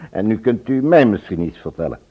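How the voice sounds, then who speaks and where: An actor playing a polite but guarded man with a husky voice in a radio drama. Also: lowish voice, very animated, normal speed. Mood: guarded, puzzled. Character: polite, smooth-mannered, guarded man receiving a questioner. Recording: dull, lo-fi old radio recording.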